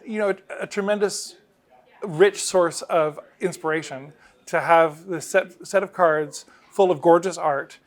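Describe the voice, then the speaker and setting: Middle aged guy, voice a bit on the high side, game designer chatting in an interview, into it. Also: a little slow. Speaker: game designer interviewed about his work. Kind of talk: casual interview explanation.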